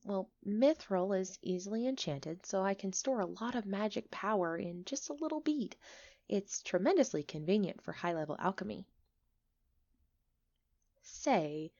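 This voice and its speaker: Chatty village alchemist, played by a woman: friendly, expressive, easily flustered, medium pitch, ASMR roleplay delivery, slightly dull recording. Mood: explaining, then excited, welcoming. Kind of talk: ASMR roleplay acting, one-sided conversation.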